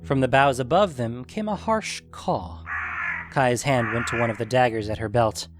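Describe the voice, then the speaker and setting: A man's voice: mid-range voice, normal speed. A man reading scripted fantasy story narration as the narrator. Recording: music behind the voice.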